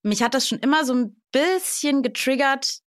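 A woman in her late thirties, co-hosting a podcast in relaxed conversation, with many fillers and easy laughter. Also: sits high, normal speed, no room sound, studio quality. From Germany. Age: 30s. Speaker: podcast co-host. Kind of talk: casual chat.

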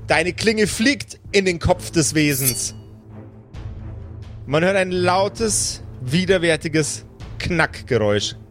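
A man working as game master, narrating an improvised tabletop adventure in deliberate, descriptive phrases. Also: on the high side, animated, a bit bright. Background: music under the voice.

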